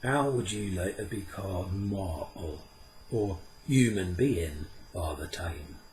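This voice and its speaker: A man's voice: deep voice, lively intonation, darkish tone, slight background noise, rough recording. A man doing Puck, a sly old hill sprite. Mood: knowing. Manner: sly, measured, slips into a slow sing-song chant. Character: ancient impish male hill sprite, sly and knowing.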